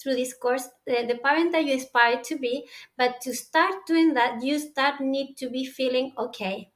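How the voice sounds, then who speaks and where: This is a woman with a high-pitched voice and a Chilean Spanish accent. She is a course presenter introducing a programme. Her English is non-native and comes in halting phrases, as she finds her wording along the way. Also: a bit bright.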